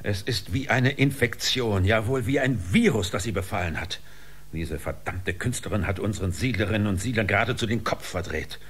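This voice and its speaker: An actor playing a cold, stern spaceship commander in a science fiction radio play, hard and dismissive. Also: male voice, lowish voice, a little fast, a bit bright, husky. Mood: irritated. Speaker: actor in an audio drama.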